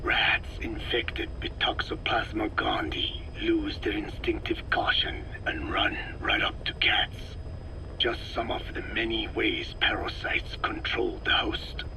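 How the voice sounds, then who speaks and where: A frail old man of science, speaks in a low, creaky whisper. He lectures gravely and deliberately, every phrase breathy and rasping. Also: level tone, low-quality recording. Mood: somber, explanatory. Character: elderly male scientist, frail, erudite, grave. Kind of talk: video game audio drama acting.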